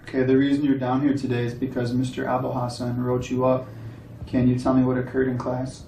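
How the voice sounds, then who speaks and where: A man acting as a stern, matter-of-fact school administrator in an amateur role-play, speaking in a halting, official way. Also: medium pitch, monotone, vocal fry, warm tone, slight background noise, close to an everyday voice, poor audio.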